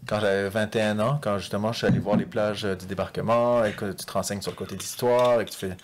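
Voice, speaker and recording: A young man from France speaks in a lowish voice, relaxed and unhurried. He chats as a guest, often pausing on fillers while he thinks. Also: slight background noise. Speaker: podcast guest. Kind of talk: casual chat.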